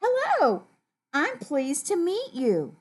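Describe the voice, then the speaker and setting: A woman's voice: very high-pitched, animated, takes it slowly, fairly bright tone, voice pushed up, heavily acted. An older woman voicing storybook characters with exaggerated, singsong replies. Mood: sweetly polite. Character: storybook characters trying out polite and rude replies.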